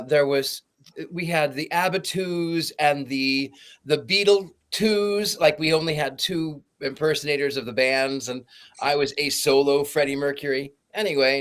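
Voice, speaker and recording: A man with a somewhat high voice tells anecdotes on a casual podcast. He is animated and loose, often stopping and restarting his sentences. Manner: animated storyteller, halting restarts. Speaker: podcast co-host. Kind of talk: casual chat, storytelling.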